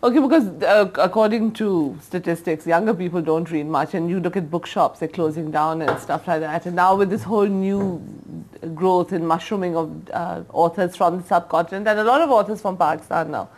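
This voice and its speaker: A woman's voice: lowish voice, a little fast, a little mellow. Woman host asking frank, probing questions. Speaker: TV interviewer host. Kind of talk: TV interview conversation.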